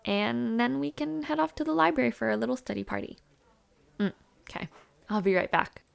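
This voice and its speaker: Woman playing a creaky-voiced, friendly college girl. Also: on the high side, normal speed. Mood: casual, chatty. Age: young adult. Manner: casual, hesitant, giggly, trailing afterthoughts. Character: friendly, chatty young female university student and dormmate. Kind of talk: intimate audio roleplay, casual one-sided conversation.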